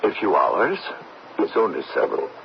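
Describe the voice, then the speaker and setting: A grave, kindly middle-aged village doctor, with a low voice full of creak. He is measured and fatherly, with richly varied intonation in a vintage radio-drama style. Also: very animated, exaggerated voice. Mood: reflective. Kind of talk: old-time radio drama acting. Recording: dull, faintly noisy old radio broadcast.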